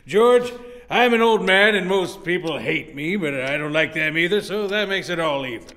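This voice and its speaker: A man's voice: fairly high pitch, animated, normal speed, pushed and bright. An actor playing a crafty, domineering old businessman in a radio play, condescending and blustering. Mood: sly, condescending.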